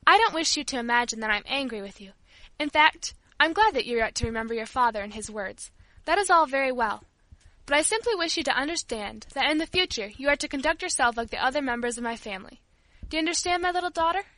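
A woman's voice: sits high, expressive, fast talker, pushed and bright, no echo, poor audio. A woman voicing a cold, strict father in an audiobook, speaking in a curt, formal, authoritarian way. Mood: stern, measured. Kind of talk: audiobook character voice.

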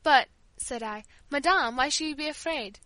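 A woman's voice: high-pitched, normal speed, sharp tone, no room sound. A woman voicing a kindly, grieving old female servant who tells her tale tenderly in an audiobook. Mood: gentle, recounting. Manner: tender, fond, rambling storyteller. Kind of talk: audiobook character dialogue.

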